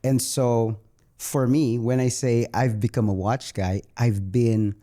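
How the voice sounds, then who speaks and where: A man's voice: mid-range voice, steady tone, slow, warm tone. A man with a Filipino accent chatting casually as a guest host on a podcast. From Philippines.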